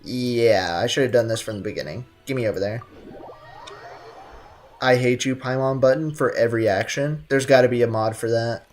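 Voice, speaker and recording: A woman's voice: extremely low pitch, darkish tone. A woman doing casual, slangy, sarcastic commentary as she streams a video game. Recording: faint game music behind.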